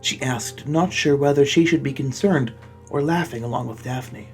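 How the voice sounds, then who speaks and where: A man's voice: mid-range voice, normal speed, darkish tone, soft background music. A man hosting a comedy video, reading fan fiction in a theatrical way and breaking off with mock-outraged comments. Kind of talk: dramatic comic reading of fan fiction with exasperated asides.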